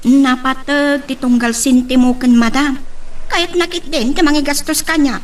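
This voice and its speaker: A woman's voice: high voice, fairly even intonation, normal speed, close to an everyday voice. A woman with a Filipino accent playing a concerned, gossipy member of a household in an audio drama, fretful and conversational. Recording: distant from the microphone.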